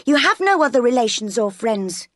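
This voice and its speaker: Woman acting a chiding servant woman, raised pitch. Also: very animated, moderate pace, dry recording, clean studio sound. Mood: admonishing. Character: admonishing female servant lecturing a child.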